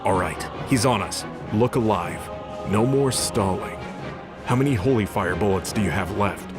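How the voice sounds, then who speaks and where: A cynical, disgraced angel warrior played by a man in an audio drama, speaking in a low voice with gruff sarcasm. He swears freely, and loud music plays under him. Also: normal speed, natural voice. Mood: urgent, exasperated. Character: cynical, sardonic disgraced male angel fighter.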